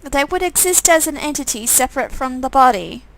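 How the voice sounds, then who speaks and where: A woman's voice: high voice, expressive, a little fast, a bit bright, low-quality recording. A woman voicing chatty, curious young women from a visual novel in a theatrical, conversational read. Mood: keen.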